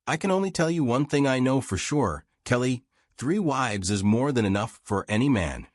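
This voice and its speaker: A man's voice: mid-range voice, animated, moderate pace, no room sound, clean studio sound, lightly acted. A man voicing a frank, wry old American war reporter reminiscing in folksy, self-deprecating audiobook dialogue.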